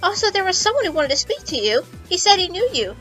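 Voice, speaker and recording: Woman putting on a high-pitched sweet housewife. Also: sing-song, fast talker, a bit bright, exaggerated voice. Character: gentle, sweet-talking adult housewife. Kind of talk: dramatic reading of fan fiction. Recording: music behind the voice.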